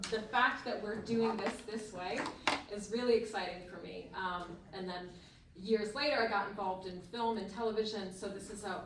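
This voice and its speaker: A woman's voice: medium pitch, a bit bright. A woman speaking informally as the host of a live event, introducing it to an audience. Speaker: board member and event host. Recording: roomy, distant mic with faint noise.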